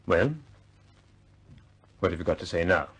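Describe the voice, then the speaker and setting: A man's voice: low-pitched, measured pace, a bit bright, slightly rough, exaggerated voice. An actor playing an exasperated senior British official in a vintage radio drama, stammering and emphatic as he blusters.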